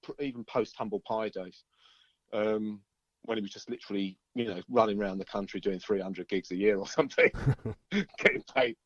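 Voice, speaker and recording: Low-voiced man, dull lo-fi sound. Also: steady tone, fairly quick, a bit bright, dry recording. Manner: hesitant, rambling, chuckling. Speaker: interviewed musician, band member. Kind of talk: casual interview chat about music. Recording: dull, lo-fi band-limited line.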